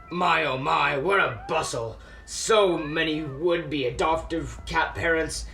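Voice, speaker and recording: A man's voice: a little high, sharp tone, heavily acted. A man playing a grumpy, haunted miser cat with theatrical bluster in an amateur radio play. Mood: wistful excitement.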